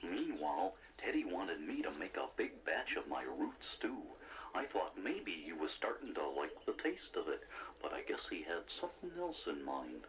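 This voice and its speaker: A man's voice: deep voice, very animated, normal speed, a bit bright, slight background noise, poor audio. A man voicing an eager, naive young sidekick creature in a children's read-along story, with a wide-eyed, exclaiming manner. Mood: eager narrating. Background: music.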